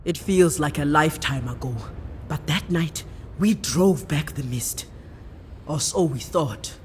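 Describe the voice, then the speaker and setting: Proud island priestess, solemn, authoritative, slow measured delivery, fantasy game, music behind. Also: female voice, low voice. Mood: grave. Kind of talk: fantasy game character acting.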